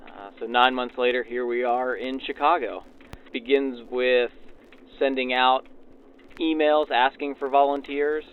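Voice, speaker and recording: Guy answering interview questions, medium pitch, informal, thin old radio sound with faint train-like vehicle noise. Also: narrow, tinny audio. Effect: backdated 1940s radio filter. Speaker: railroad passenger services supervisor being interviewed.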